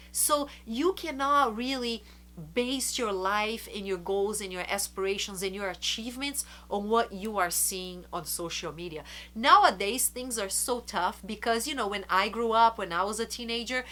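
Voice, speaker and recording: Middle-aged woman vlogger, stuffy nose from a flu, bright tone, earnest and chatty. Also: fairly high pitch. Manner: earnest, chatty, slows to stress words. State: congested from a flu. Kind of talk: casual advice to camera.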